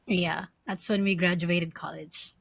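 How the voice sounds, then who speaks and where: A woman's voice: fairly low pitch, fairly even intonation, a little slow, vocal fry, slightly rough, no echo. A woman in her early thirties from the Philippines, co-hosting a casual chat, giggling often and asking curious questions. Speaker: podcast co-host. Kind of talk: casual chat between friends.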